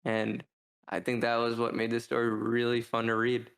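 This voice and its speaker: A man co-hosting a podcast, talking through a book review in a casual, rambling, halting way as he thinks out loud. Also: mid-range voice, monotone, creaky. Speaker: podcast co-host.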